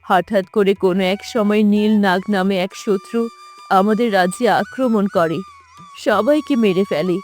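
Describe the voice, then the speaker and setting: A woman's voice: mid-range voice, a little mellow, natural voice. A woman voicing a sorrowful, protective mother serpent queen in a fairy-tale cartoon, explaining things solemnly.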